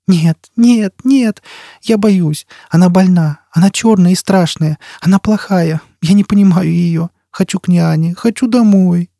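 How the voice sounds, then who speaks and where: A scared little boy voiced by a male narrator in an audiobook. The pitch is lifted well up, and the words come out plaintive and whining, like a homesick child begging. The sound is close and dry. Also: measured pace, warm tone, no room sound. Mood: tearful pleading. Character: frightened sobbing little boy telepath, homesick, pleading. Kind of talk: audiobook character acting.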